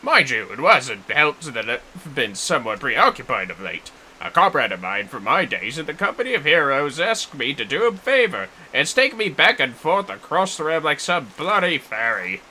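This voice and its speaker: A man's voice: a little high, bright, forceful tone, a little hiss or hum, voice pushed up, heavily acted, low-quality recording. A man voicing an earnest, wordy warrior mentor in a fantasy game, with a theatrical, formal storytelling delivery. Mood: hearty, apologetic, then weary, earnest. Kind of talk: fantasy game quest dialogue read aloud.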